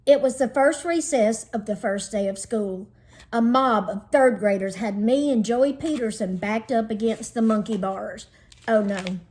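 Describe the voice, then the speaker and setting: A woman narrating a children's storybook in an expressive, dramatizing read-aloud style. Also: fairly high pitch, a little slow.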